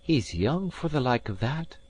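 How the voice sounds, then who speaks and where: Give me a tender young Scottish serving maid, as a man voices her. Her voice is lifted far above a deep natural register and stays soft and plaintive. The tone is thinned and altered. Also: animated, measured pace. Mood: curious. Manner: plaintive, sympathetic.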